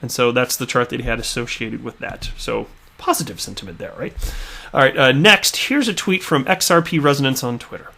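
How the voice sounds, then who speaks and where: A man's voice: medium pitch, brisk, gravelly, pushed and bright. A man from the American Midwest talking casually on his own video channel, chatty and full of fillers, often repeating his words. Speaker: crypto YouTuber. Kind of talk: casual commentary reading tweets.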